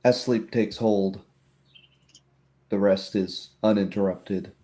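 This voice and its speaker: A man narrating a tabletop roleplaying game as game master, describing scenes in halting phrases. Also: lowish voice, steady tone, dark tone.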